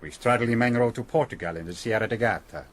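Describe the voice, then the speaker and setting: An actor playing a cool, wry Spanish guerrilla leader with a Spanish accent in a radio drama. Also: male voice, mid-range voice, fast talker, lightly acted. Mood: wry, assured. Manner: wry, cool, matter-of-fact.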